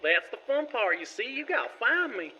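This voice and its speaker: A man's voice: very high voice, sing-song, normal speed, muffled recording, a crowd in the background. A man playing a mocking, gloating killer out for revenge in an amateur audio drama. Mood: gleeful taunting. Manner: gloating, mocking, chuckling delivery. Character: taunting, vengeful male killer avenging his brother.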